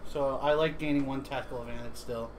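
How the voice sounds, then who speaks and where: A man's voice: mid-range voice, steady tone, fast. A man chatting casually and slangily, with some swearing, as he plays a tabletop game on a livestream. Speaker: board game player. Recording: noisy livestream room audio.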